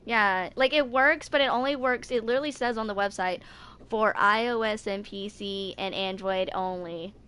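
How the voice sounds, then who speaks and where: A woman's voice: mid-range voice, moderate pace, sharp tone, clean tone. A woman in her twenties chatting casually as a streamer, rambling informally and repeating phrases for emphasis. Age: 20s. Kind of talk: casual livestream chat.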